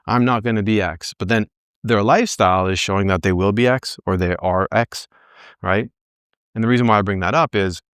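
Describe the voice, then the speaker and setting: A man's voice: deep voice, fairly bright tone, clear, resonant tone, dry recording, studio quality. A man in his early forties giving an informal motivational coaching talk, conversational, often checking in with "right?" and voicing his own thoughts as quotes. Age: early 40s. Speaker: back pain coach leading a group session.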